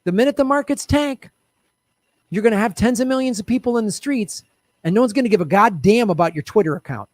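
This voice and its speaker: A man hosting a political commentary show, ranting loudly and profanely and urging viewers to act. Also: high-pitched, animated, fast talker, no room sound. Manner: talks straight to viewers, urging them to act.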